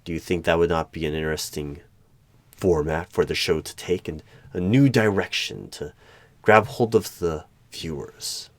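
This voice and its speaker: A man playing an eerie, probing hiring manager in a one-sided ASMR interview roleplay, speaking deliberately with pauses between questions. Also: deep voice, no echo. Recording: background noise with keyboard typing and clicks. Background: computer keyboard typing.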